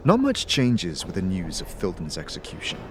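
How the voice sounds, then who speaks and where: Low-voiced man narrating audio drama. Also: huge pitch swings, normal speed, slight background noise.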